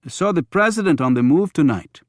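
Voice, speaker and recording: A man voicing a gruff, suspicious city cab driver in an audiobook, blunt and slangy. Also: on the high side, lively intonation, fairly quick, no echo, studio quality, voice pushed up, lightly acted. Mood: chatty. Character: gruff, suspicious, greedy male city cabbie.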